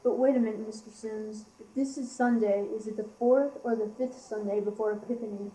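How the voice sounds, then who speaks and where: A woman's voice: medium pitch, fairly even intonation, a little fast, mellow, close to an everyday voice, rough recording. A young teenage girl playing a flustered but practical male village vicar in an amateur school radio play. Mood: resigned.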